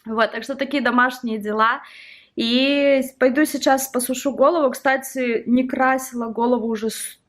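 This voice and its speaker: A woman's voice: sits high, steady tone, normal speed, fairly bright tone. A woman in her thirties chatting casually in a home vlog, rambling from topic to topic and laughing often. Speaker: vlogger. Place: at home, indoors.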